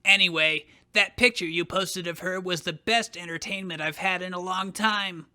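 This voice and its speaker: Man acting a bright, scornful, high-voiced young hero. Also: steady tone, normal speed, smooth. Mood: haughty. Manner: scornful, declamatory. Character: proud, bitter, vengeful male hero. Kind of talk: dramatic fan fiction reading.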